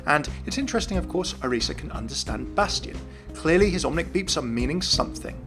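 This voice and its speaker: A man's voice: a little high, animated, a little fast. A man giving a scripted commentary on video game lore. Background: faint music.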